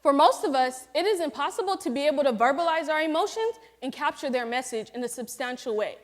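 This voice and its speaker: A woman's voice: high-pitched, lively intonation, fast talker. An eighteen-year-old young woman giving a senior presentation and role-playing a group facilitator, speaking earnestly and instructively to a group. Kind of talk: student presentation with a scripted role-play.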